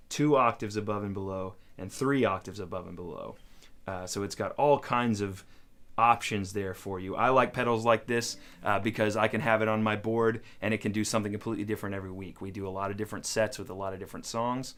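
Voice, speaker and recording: Guy with a lowish voice doing a casual gear demo, just chatting thru how stuff works. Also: a bit bright. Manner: informal, conversational explaining. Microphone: studio microphone into audio interface.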